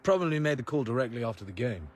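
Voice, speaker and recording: An actor playing a cool, wry British secret agent in a radio drama, clipped, dry and understated. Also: male voice, medium pitch, very animated, rapid-fire. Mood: clipped, dutiful. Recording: loud music behind.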